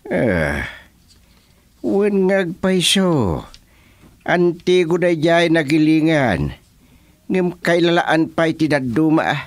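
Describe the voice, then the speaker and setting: Actor as a slow, steady advising friend. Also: male voice, on the high side, dry recording, exaggerated voice. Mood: wry. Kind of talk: radio drama acting.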